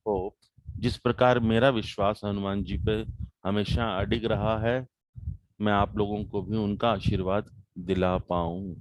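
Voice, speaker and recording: Slow, low-voiced Indian man guiding meditation. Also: dry recording. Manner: calm, measured, with deliberate pauses. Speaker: guided meditation teacher. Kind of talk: guided meditation instruction.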